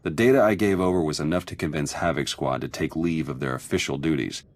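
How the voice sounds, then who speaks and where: A man's voice: very deep voice, fast. An actor playing a sly male spy with a dry, wry, confiding manner, in a video game.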